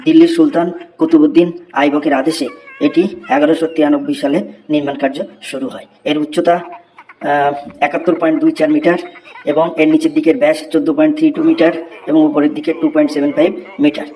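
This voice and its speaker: A man's voice: fairly high pitch, flat delivery, fast talker, mellow, slight background noise, natural voice. A man doing a schoolboy reciting by rote. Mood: recitation. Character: schoolboy Poltu, reciting an essay.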